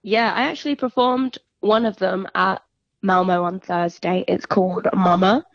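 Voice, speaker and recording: Young female singer-songwriter interviewee, casual and reflective, mid-pitched, over a dull lo-fi phone line. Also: fairly even intonation, moderate pace, no room sound. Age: young adult.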